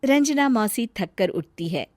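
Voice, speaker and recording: Lively woman storybook narrator. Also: a little high, unhurried, no echo, clean studio sound.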